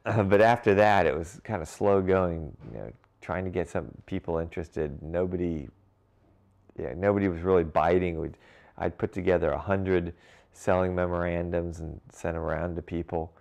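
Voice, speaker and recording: A man's voice: low-pitched, moderate pace, vocal fry, darkish tone. A middle-aged man, interview guest, telling his story in an easygoing conversational way. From grew up in Cincinnati and Brussels. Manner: easygoing, uses fillers and restarts, occasional light laughter. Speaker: interview guest, brewery founder.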